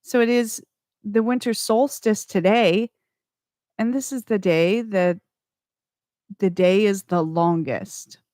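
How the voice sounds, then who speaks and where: A woman's voice: mid-range voice, steady tone, darkish tone, no room sound, studio quality. A woman hosting a radio music show, chatting between tracks in a rambling way that corrects itself as she goes. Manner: slow, rambling, self-correcting.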